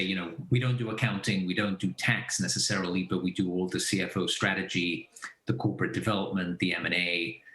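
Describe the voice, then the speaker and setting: A man's voice: low-pitched, pushed and bright. A man from Belgium giving conversational interview answers as a company founder and finance advisor. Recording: roomy echo.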